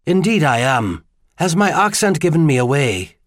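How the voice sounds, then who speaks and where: Courtly, impish tenor gentleman, acted by a male narrator, polite and charming, lively intonation, audiobook dialogue. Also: on the high side, normal speed, no room sound, studio quality, pitched above the performer's own voice. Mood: gallant, amused. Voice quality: pleasant tenor. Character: charming, gallant, well-dressed gentleman visitor, faintly impish.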